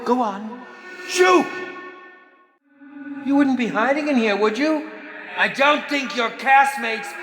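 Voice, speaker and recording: An actor playing a theatrical, impatient and sinister film director in a video game, with a hammy, bossy, sarcastic manner. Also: male voice, very high voice, animated, slow, pauses often, exaggerated voice. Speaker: game villain and announcer. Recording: echoey hall reverb with music.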